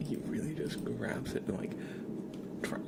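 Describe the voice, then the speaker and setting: A man hosting a live ASMR stream, chatting casually with viewers in a soft, half-whispered, amused way, close to the microphone. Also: medium pitch, measured pace, vocal fry, heavy background noise.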